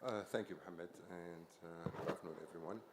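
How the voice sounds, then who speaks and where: A man's voice: low voice, steady tone, fast, very noisy. Man in a reverberant conference hall. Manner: hesitant, many fillers and restarts, says "okay" often. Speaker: investment company chief executive. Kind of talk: panel discussion answer.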